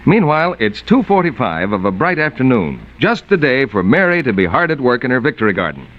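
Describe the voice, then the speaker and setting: Animated vintage male radio announcer. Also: medium pitch, brisk. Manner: polished, emphatic salesmanship. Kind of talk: 1940s scripted commercial announcing and narration. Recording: muffled vintage 1940s radio broadcast.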